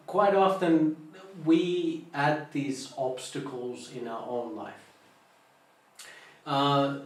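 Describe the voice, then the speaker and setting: A man with a Finnish accent, a Buddhist monk giving an informal meditation talk, halting as he searches for English words. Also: fairly high pitch. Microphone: online live stream, distant mic. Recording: very echoey room, far from mic.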